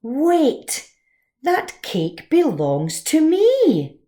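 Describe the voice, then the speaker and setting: A proud little girl from a storybook, acted out by a woman reader. She boasts and exclaims dramatically. Her pitch swoops about in a very lively way. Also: very slow, exaggerated voice. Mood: shocked. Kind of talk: dramatised children's storybook reading.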